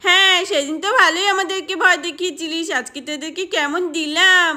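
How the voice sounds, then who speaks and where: A scheming little village girl, voiced by a woman for a puppet tale. Her voice is extremely high. She speaks in an excitable, teasing way, full of exclamations. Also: brisk, heavily acted. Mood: gloating.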